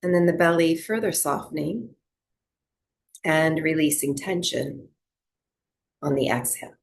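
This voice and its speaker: Woman, low creaky voice, yoga teacher, guided meditation, gentle and soothing, long pauses.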